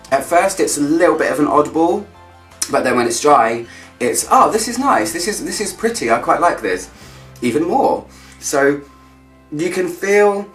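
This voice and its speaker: A man giving a casual vlog-style review, chatty and descriptive, thinking aloud as he goes. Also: mid-range voice. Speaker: fragrance reviewer. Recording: echoey room, distant mic, faint music.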